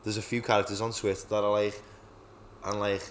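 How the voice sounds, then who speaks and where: Low-voiced sweary young man. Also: flat delivery, fairly quick, slight background noise. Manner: sweary, storytelling, laughs often. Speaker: podcast co-host. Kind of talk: casual chat.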